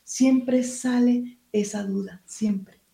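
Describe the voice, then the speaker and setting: Woman writer, mellow tone, hesitant, reads her own prose aloud. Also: medium pitch, fairly even intonation, very slow, vocal fry. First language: Spanish. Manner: hesitant, searching for words. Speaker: writer and teacher. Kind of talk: interview answers and reading from her book.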